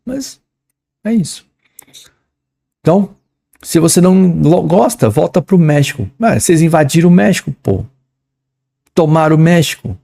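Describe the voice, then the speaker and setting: A young man with a São Paulo accent talks students through an English exam in a loose, slangy way. His voice is gravelly and mellow. He reads English phrases aloud, then translates and jokes about them. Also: fairly high pitch, animated, normal speed, pauses often. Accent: São Paulo Portuguese. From São Paulo, Brazil. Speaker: English teacher. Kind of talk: exam-prep lesson.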